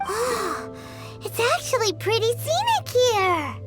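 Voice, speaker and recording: A tiny fairy girl companion from an anime game, with an extremely high, squeaky voice. She is bubbly and chatty, swooping wildly in pitch, over loud music. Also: sing-song, slow pace, full character voice. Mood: excited. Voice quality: squeaky, childlike. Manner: exclamatory, bubbly. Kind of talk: anime-style game voice acting.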